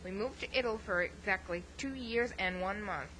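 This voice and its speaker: A woman's voice: mid-range voice, brisk, sharp tone, slight background noise, natural voice, poor audio. A woman playing a giddy young woman who is a pop-music fan, talking in a teasing, giggly way and spinning tall tales. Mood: playful. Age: young adult. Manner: playful, teasing, giggly, tall-tale telling.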